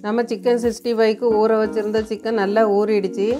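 A woman's voice: a little high, level tone, fairly quick, music in the background. A woman narrates a recipe step by step in a plain, homely, colloquial way. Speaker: home cooking channel host.

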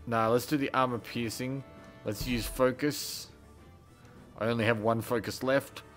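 A man's voice: medium pitch, steady tone, unhurried, fairly bright tone. A man giving casual let's play commentary as a gaming host, muttering through his decisions as he plays. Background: game music.

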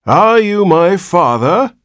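A man's voice: high-pitched, sing-song, slow, fairly bright tone, no room sound, clean studio sound, pitched above the performer's own voice, heavily acted. A man voicing a querulous man of seventy from a storybook, in a cracked, weak, whining old voice. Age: 70s.

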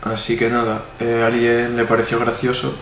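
A man's voice: mid-range voice, monotone, normal speed, a bit bright. A man in his twenties with a Spanish accent, answering interview questions in a rambling way with many fillers. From Spain. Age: 20s. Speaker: interviewee, artist. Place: indoor room. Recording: echoey room, noisy.

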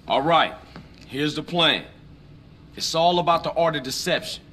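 Blunt, streetwise crew boss. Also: male voice, fairly high pitch, moderate pace, pushed and bright. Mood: commanding. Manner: blunt, commanding, casual slang. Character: bold, streetwise young male gangster leading a heist. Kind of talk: video game cutscene acting. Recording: faint background noise.

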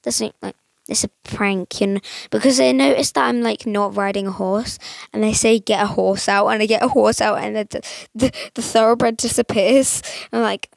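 A young woman chatting casually over a mobile game, rambling with lots of fillers, her voice a little croaky today. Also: medium pitch, steady tone, normal speed, no room sound. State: slightly croaky voice. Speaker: gaming channel host. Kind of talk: casual gameplay commentary.

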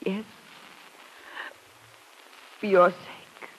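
An actress playing a devoted young officer's wife in a 1950s radio drama. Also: female voice, drawn-out words, poor audio. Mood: eager, devoted. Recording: old 1950s radio recording.

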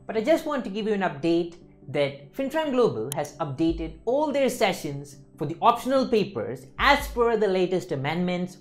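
A young man with an Indian accent delivers an instructional lecture as an exam tutor. His pitch swings widely from phrase to phrase as he stresses points and keeps checking that listeners follow. He is recorded in a studio. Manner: emphatic, with frequent checking tags like "okay, folks".

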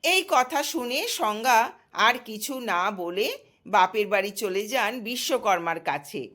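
A woman storyteller narrating a scripted mythological tale in an expressive, dramatic manner. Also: medium pitch, takes it slowly.

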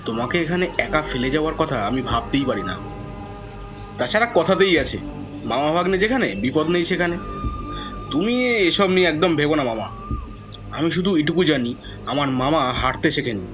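A man's voice: a little high, expressive, normal speed, pauses often, close to an everyday voice. A man playing a devoted young nephew who narrates a horror audio drama in a dramatic, scripted style. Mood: earnest, devoted. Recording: dull lo-fi, music behind.

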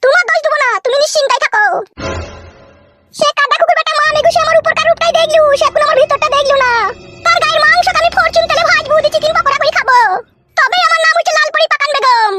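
A man's voice: very high voice, racing, pushed and bright, no echo, exaggerated voice. A man voicing a furious, vengeful, boastful wife in a comedy cartoon, ranting in a rural West Bengal accent. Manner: ranting, threatening, earthy. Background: music.

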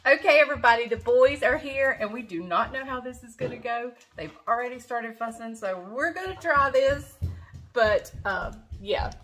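A woman's voice: on the high side, expressive, normal speed, fairly bright tone, faint music behind. A woman in her fifties, a grandmother, chatting casually to camera in her home kitchen, rambling with plenty of fillers. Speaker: farm vlogger, grandmother. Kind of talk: casual vlog chat. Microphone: camera close up, then further off at the counter.

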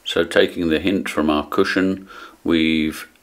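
Very deep-voiced man explaining. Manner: measured, steady explaining with frequent ums. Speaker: product demonstrator. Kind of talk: explanatory product demonstration.

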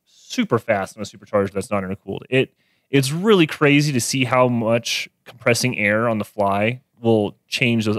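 A man's voice: medium pitch, dry recording. A man, chatting casually about cars as a guest mechanic on a podcast. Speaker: guest mechanic and car tuner. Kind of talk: casual podcast car chat. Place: small garage-styled podcast studio. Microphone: close studio mic on boom arm.

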